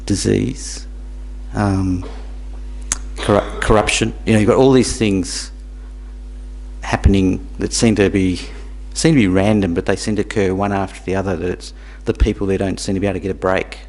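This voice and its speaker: Low-voiced man giving a dharma talk. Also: steady tone, creaky, a little mellow, low-quality recording. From Tanzania. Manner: halting, repeats words, asks the audience questions. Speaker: Buddhist teacher. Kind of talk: dharma talk to a small group.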